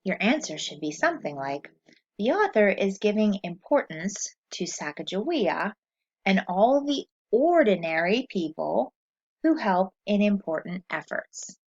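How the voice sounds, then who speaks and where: A woman teacher reading a lesson aloud to children, slow and clear, pausing so they can answer. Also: mid-range voice, lo-fi sound.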